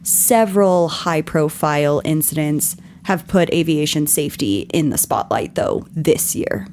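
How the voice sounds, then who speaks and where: A woman hosting a podcast, her voice scratchy and strained from yelling, explaining things in an earnest, scripted way. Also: low-pitched, a little slow. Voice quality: scratchy, husky. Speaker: podcast host.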